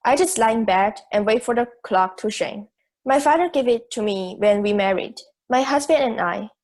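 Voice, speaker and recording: Young woman playing self-assured female juror, fairly high voice, measured, articulate, amateur audio drama. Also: lively intonation, a little mellow. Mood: casual, reflective. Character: logical, self-assured juror, a married woman open to reason. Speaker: student actor in school drama class.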